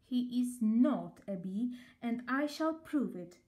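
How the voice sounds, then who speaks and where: A clever little girl bee from a storybook, as a woman reader puts her on. She is suspicious and insistent, with a high, animated voice that takes its time. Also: slow pace.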